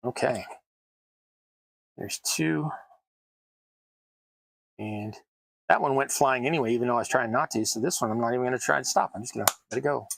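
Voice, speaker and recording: A man's voice: medium pitch, moderate pace, vocal fry, pauses often, fairly bright tone. An American man chatting casually to his stream audience while building tabletop terrain, rambling and self-deprecating. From United States. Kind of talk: casual chat while crafting. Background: sounds of cutting at a worktable.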